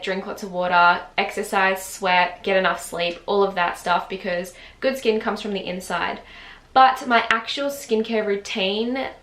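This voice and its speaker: A woman's voice: medium pitch, fairly bright tone. Chatty young woman in roomy echo. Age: 20s. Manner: chatty, lots of "like" fillers, laughs often. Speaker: beauty vlogger. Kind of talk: casual chat to camera. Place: home, just woken up.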